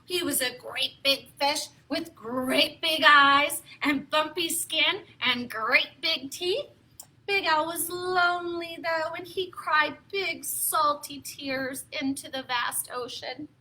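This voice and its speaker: A woman reads aloud for young children as a storytime host. Her voice is extremely high and very bright, and she takes her time with slow phrasing. The delivery is theatrical and playful, with exaggerated expression. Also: low-quality recording.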